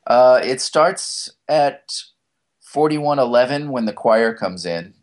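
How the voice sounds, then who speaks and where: A man in a mid-range voice co-hosts a casual podcast. He is relaxed and talks his partner through which clip to play next. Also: medium pitch, takes it slowly. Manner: relaxed, explains to co-host what to play. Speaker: podcast co-host. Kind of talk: casual chat.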